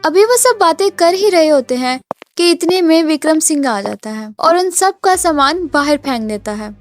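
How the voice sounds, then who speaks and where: A woman's voice: high voice, lively intonation, normal speed, no room sound, soft background music. A woman narrator reading a scripted, dramatic cartoon story.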